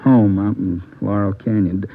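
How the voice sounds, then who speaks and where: A man's voice: fairly low pitch, fairly even intonation, moderate pace. A man playing a nervous, self-serving American underworld informant in a vintage radio crime drama. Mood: wary, evasive. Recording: tinny, band-limited old radio recording.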